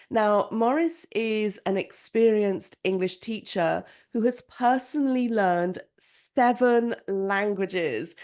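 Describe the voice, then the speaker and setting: Enthusiastic woman podcast host. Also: medium pitch. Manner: enthusiastic, expressive. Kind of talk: podcast interview.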